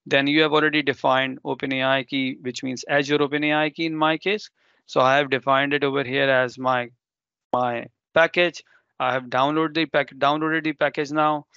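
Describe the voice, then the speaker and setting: A man's voice: mid-range voice, flat delivery, moderate pace, sharp tone, smooth, no room sound, muffled recording. A man whose first language is Urdu, presenting a live technical demo and explaining step by step with ums and self-corrections.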